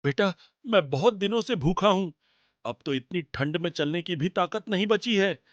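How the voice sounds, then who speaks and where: A man playing a kindly, frail old wandering holy man in a cartoon moral story, speaking gently. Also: sits high, expressive, moderate pace, no echo, muffled recording. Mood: weak, pleading.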